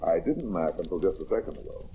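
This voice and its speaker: An actor playing a shrewd, wisecracking detective with an American accent in an old-time radio crime drama, confident and hard-boiled. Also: male voice, deep voice, expressive, fast, dark tone. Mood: confident, wry. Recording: noisy vintage radio recording.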